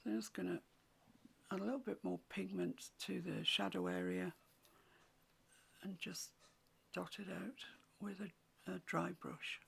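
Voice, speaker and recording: A woman with a croaky voice, teaching a watercolour painting tutorial in soft, often half-whispered instructions. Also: on the low side, fairly even intonation, unhurried, long pauses. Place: home room with a mantelpiece.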